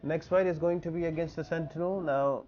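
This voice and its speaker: A man's voice: fairly high pitch, steady tone, fairly quick, muffled recording, slight background noise. A man giving informal walkthrough commentary for a mobile game, explaining things step by step in an instructional way. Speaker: gaming guide video host.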